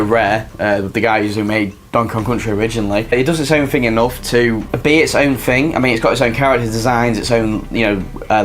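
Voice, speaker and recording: A young man, slightly ill with a raspy voice, rambling casually through his favourite games of the year with lots of fillers. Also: medium pitch. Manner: chatty, many fillers and restarts. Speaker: video game reviewer. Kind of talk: casual rambling game review.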